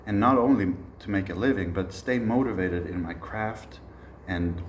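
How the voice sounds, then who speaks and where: Swedish-born guy in his 30s, low voice, talks music in a roomy echoey space, bit of hiss. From Sweden. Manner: relaxed, reflective, frequent "uh" pauses. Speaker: musician teaching a masterclass. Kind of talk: informal spoken lecture.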